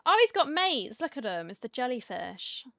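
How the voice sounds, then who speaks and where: A woman's voice: high voice, sing-song, moderate pace, bright, forceful tone, slight background noise. A woman gaming streamer giving casual, chatty commentary and reacting aloud as she plays.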